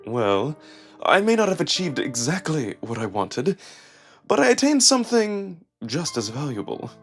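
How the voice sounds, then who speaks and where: A man's voice: fairly high pitch, sing-song, measured pace, a bit bright, soft background music, voice pushed up, heavily acted. A man playing a sly, smug male jackal schemer with haughty, precise, theatrical speech.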